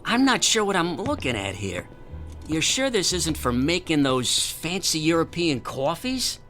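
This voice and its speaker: Touchy, blunt repairman, animated delivery. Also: a little high, a little slow, soft background music, full character voice. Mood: puzzled, dry. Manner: blunt, literal, grumbling. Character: touchy, blunt, slow-witted repairman guarding his tools. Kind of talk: comic radio drama acting.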